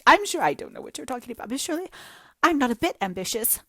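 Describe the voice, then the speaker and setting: Woman reading as weepy dramatic young woman, high and swooping, gushing, dry close sound. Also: very animated, fast talker, fairly bright tone, no echo, full character voice. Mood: wailing. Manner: gushing, theatrical, breathless overstatement. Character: melodramatic young woman, self-pitying and tearful.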